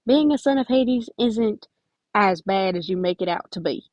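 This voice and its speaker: A woman's voice: mid-range voice, expressive, normal speed, darkish tone, no room sound, highs cut off, natural voice. A woman voicing a stern but caring teenage boy who is earnest and pleading in a story reading. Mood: exasperated, stern.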